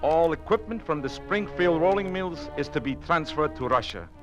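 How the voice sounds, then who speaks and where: An actor playing a cold, humorless Soviet marshal in an old-time radio drama, giving orders in an imperious, formal, clipped manner. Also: male voice, fairly high pitch, animated, normal speed, music in the background, lightly acted. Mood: brisk command.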